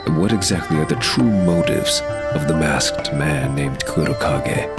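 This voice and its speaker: A man's voice: rumbling low, expressive, unhurried, over loud music. A man narrating a dramatic serial from a script.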